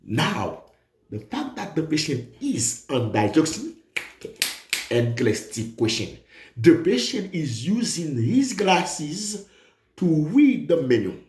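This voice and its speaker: Animated man teaching in an echoey room. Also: on the high side, takes it slowly. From Haiti. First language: Haitian Creole. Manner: animated, emphatic, repeats key points. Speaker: nursing exam tutor. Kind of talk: lively exam review lesson.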